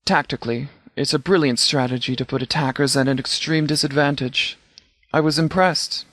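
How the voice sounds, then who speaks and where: A man playing a stern, principled post-apocalyptic sheriff in a video game, speaking in a formal, measured, articulate way. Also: mid-range voice, steady tone, fairly bright tone, dry recording, clean studio sound, lightly acted. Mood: approving, matter-of-fact. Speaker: voice actor in a game mod. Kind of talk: scripted video game character dialogue.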